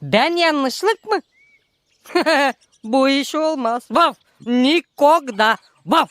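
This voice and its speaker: An actor voicing a boisterous, grumbling, excitable animal musician in a cartoon, muttering and exclaiming. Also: drawn-out words, exaggerated voice. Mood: indignant. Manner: exclamatory, muttering, swooping between low and high. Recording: music behind the voice.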